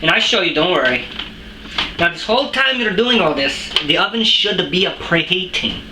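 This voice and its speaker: A boastful, bumbling French chef, played by a comedian rambles through a home cooking demo in a put-on French accent that keeps sliding toward Australian or British. His English is broken and peppered with crude swearing. The kitchen is roomy and echoey, and a steady noise runs behind him. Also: mid-range voice, normal speed, lightly acted. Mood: cocky. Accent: put-on French, drifting to Australian or British. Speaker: cooking show host. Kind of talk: comic cooking demonstration.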